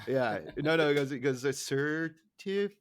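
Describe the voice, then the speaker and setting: A man's voice: fairly high pitch, expressive, moderate pace, a crowd in the background. Jokey man recapping TV on a podcast. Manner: jokey, laughs often while retelling. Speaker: podcast host. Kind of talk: casual TV episode recap with acted quotes.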